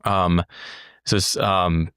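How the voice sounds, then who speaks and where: A man's voice: low-pitched, little pitch movement, slow pace, a bit bright, clear, resonant tone, no echo, clean studio sound. A man co-hosting a podcast, reflecting thoughtfully with hesitant, searching phrasing. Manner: thoughtful, hesitant, many fillers and restarts. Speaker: podcast co-host. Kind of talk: casual podcast conversation.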